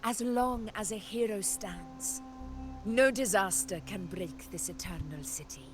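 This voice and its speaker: A proud, fearless woman ruler of warriors , played by an actress for a video game. She speaks at a slow, measured pace with calm, theatrical assurance and a teasing edge. Soft music plays behind her. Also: mid-range voice, natural voice. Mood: solemn, resolute.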